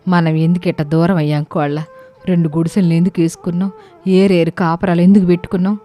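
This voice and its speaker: A woman voicing an affectionate older mother-in-law in an animated village story, speaking in rustic, colloquial phrases over music. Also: on the low side, fast, close to an everyday voice. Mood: wistful. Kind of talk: acted dialogue in animated comedy story. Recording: music under the voice.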